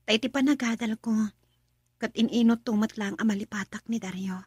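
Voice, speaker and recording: A woman's voice: a little high, a little fast, a little mellow, slightly rough, dry recording, studio quality. A woman acting a respectful, heartbroken young student in a radio drama. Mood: sad, resigned. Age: young adult.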